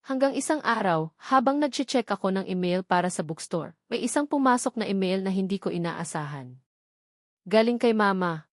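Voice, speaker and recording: A woman narrating a first-person love story in a smooth, even and heartfelt way, then thanking her listeners. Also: mid-range voice, normal speed, dry recording, studio quality.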